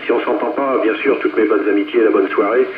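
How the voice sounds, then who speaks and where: A man's voice: on the low side, fairly even intonation, quick pace, a loud crowd. A man chatting casually as a radio operator over a two-way radio transmission. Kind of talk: casual radio contact chat. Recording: muffled, very noisy radio transmission.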